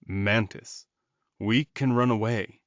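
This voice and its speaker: A man's voice: on the low side, fairly even intonation, slow, no room sound, lo-fi sound. A man voicing a weary, defiant captive male werewolf in an audiobook, grumbling and pleading. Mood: earnest, pleading.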